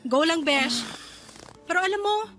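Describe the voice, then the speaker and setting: An actress playing a devoted, proud and excitable aunt in an expressive radio drama style. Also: female voice, very high voice, measured pace, faint music behind, exaggerated voice. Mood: excited, fond. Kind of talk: Tagalog radio drama acting.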